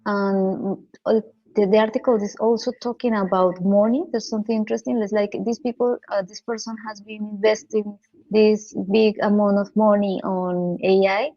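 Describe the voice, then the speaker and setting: Woman, Colombian accent, halting conversational speech, dry lo-fi sound. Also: mid-range voice, level tone, dark tone, no room sound. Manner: hesitant, with frequent fillers and restarts. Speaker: guest, physicist and data scientist. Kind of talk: conversational interview answers.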